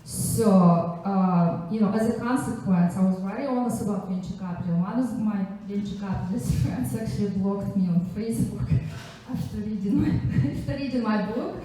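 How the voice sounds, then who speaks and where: A woman from Russia with a Russian accent introduces herself as a panelist at a live event, chatty and halting, with frequent asides. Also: medium pitch, warm tone. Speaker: panelist, angel investor and economist. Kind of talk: informal self-introduction to a live audience. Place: echoey indoor event venue. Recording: very echoey room, distant microphone.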